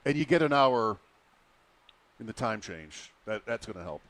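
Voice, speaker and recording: A man hosting a sports radio show, asking questions in a relaxed, conversational way. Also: fairly low pitch, moderate pace. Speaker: radio host.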